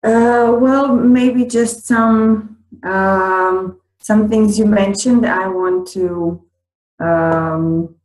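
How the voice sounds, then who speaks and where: A woman from Croatia with a Croatian accent, a teacher adding remarks to a conference talk, speaking haltingly with many fillers. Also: medium pitch, a little mellow, roomy sound, poor audio. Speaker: teacher and co-presenter.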